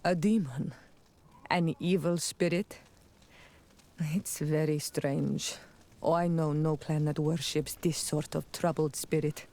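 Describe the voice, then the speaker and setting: A man's voice: on the high side, steady tone, slow pace, vocal fry, darkish tone. A male actor playing a blunt, skeptical companion in a video game, with an incredulous manner. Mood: puzzled, doubtful.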